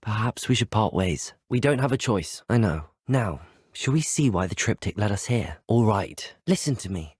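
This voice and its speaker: A young man acting as an earnest, determined student wizard in a video game, speaking in an articulate, formal and measured way. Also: lowish voice, lively intonation, a little mellow, slightly rough, no room sound, clean studio sound. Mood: firm, earnest. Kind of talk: scripted game dialogue.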